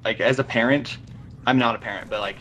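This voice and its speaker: A man's voice: medium pitch, steady tone, quick pace, fairly bright tone. Young man chatting over noisy mic. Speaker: guest on a gaming video series. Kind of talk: casual chat over a call.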